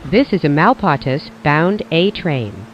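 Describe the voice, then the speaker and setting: Lively-pitched transit announcer, dull lo-fi sound. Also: a little hiss or hum. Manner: formulaic, measured station-announcement delivery. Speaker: train announcer. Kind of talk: scripted automated transit announcements.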